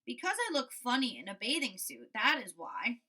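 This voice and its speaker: Woman reading as a fussy, bright-voiced storybook toad. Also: on the high side, wildly swooping pitch, normal speed, full character voice. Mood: fussy, insistent. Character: self-conscious, fussy male toad. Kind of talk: children's storybook read-aloud.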